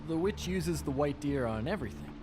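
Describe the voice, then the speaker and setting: An actor playing a smarmy, evasive small-time crook in a video game. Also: male voice, on the high side, lively intonation, a little fast, music in the background, lightly acted. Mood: glib. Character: slippery, glib male small-time crook and hustler.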